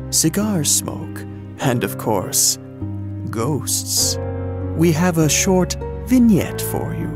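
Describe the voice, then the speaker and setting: A man hosting a show, reading a scripted radio-style introduction as announcer. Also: medium pitch. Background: music.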